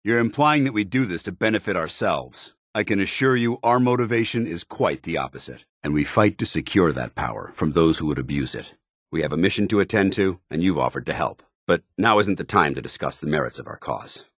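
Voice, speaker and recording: A man's voice: deep voice, animated, brisk, fairly bright tone, no echo, clean studio sound. A man playing a stern, earnest soldier loyal to his order, speaking formally and firmly as video game companion dialogue. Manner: formal, clipped, commanding, earnest.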